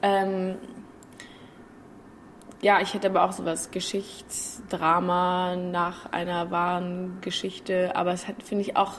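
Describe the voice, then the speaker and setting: A woman in her twenties from Berlin with a Berlin accent, an actress chatting casually as an interview guest, hesitant, often trailing off softly. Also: lowish voice, flat delivery, takes it slowly, slight background noise. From Germany. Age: 20s.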